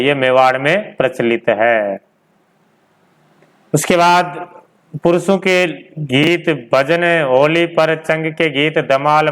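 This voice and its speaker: A male teacher gives an informal exam-prep lecture. His voice sits a little high and is very clean and smooth. He repeats key points and often asks a question, then supplies the answer himself. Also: a bit bright.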